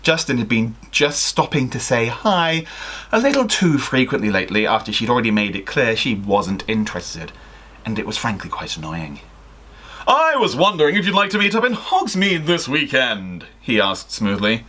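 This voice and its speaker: A young man chatting casually and reading stories aloud in an animated, jokey way. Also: medium pitch, moderate pace. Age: young adult. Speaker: co-host of a fan fiction video. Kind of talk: casual chat and story read-aloud. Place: small indoor room at home.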